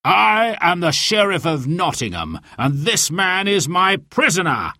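A man's voice: high-pitched, sing-song, measured pace, bright, forceful tone, no room sound, clean studio sound, pitched above the performer's own voice, heavily acted. A man voicing a haughty, commanding sheriff, the villain of a storybook tale. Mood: haughty, demanding.

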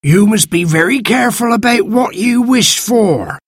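A man's voice: high-pitched, animated, breathy edge, no echo, studio quality, pitched above the performer's own voice. A man doing a stern magical sand fairy. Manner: slow, stern, warning.

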